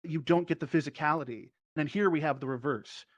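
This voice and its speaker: A man with a fairly high voice talks as a panelist, explaining things in a conversational way. The sound is dry and somewhat dull, like an online voice chat. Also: fairly quick, no room sound. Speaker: panelist, improv performer and teacher. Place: virtual reality social platform meetup, online.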